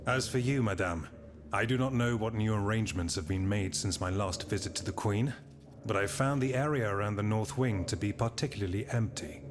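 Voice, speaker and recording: Male actor as low-voiced, shrewd French marquis. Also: a little fast, background noise, lightly acted. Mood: assured. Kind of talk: dramatic video game acting. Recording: music behind the voice.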